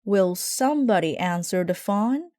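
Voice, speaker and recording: Woman reading as a harried storybook mama bear, raised pitch. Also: animated, a little slow, a little mellow, dry recording, studio quality, close to an everyday voice. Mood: harried, calling out. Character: busy, flustered mother bear in a storybook.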